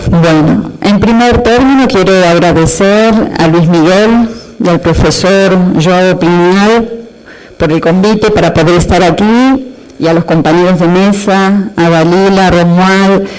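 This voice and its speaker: A native Spanish-speaking woman with a Latin American accent, speaking slowly and carefully at an academic round table. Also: lowish voice, darkish tone, poor audio. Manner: apologetic, slow and careful, mixing Spanish and Portuguese. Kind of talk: formal conference presentation. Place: university lecture amphitheater.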